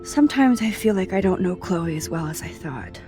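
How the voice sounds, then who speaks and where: A young woman with an American accent playing an introspective, self-doubting teenage girl in a video game, soft-spoken, understated and casual. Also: on the low side, expressive, moderate pace, close to an everyday voice. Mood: hesitant, wistful. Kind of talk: video game acting, quiet inner monologue and dialogue. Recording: music under the voice. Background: background music.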